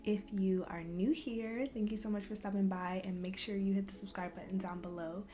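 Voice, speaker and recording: A young woman from Louisville, Kentucky, chatting casually in a Q&A vlog, soft-spoken and relaxed with frequent ums. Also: medium pitch, fairly quick, a little hiss or hum. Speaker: vlogger. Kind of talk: casual Q&A chat.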